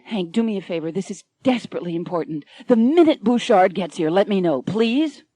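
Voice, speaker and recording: A woman voicing a frazzled, overworked young male newsroom writer who speaks in a flustered, hurried way. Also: mid-range voice, animated, mellow, no room sound, lightly acted.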